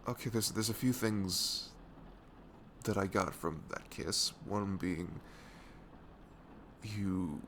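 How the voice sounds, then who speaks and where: A man playing a tender, nervous best friend in an intimate ASMR roleplay, speaking softly and hesitantly in a low, gravelly voice close to the mic. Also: slow pace, pauses often, slight background noise, heavily acted. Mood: stunned, flustered. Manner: hesitant, stammering, soft-spoken.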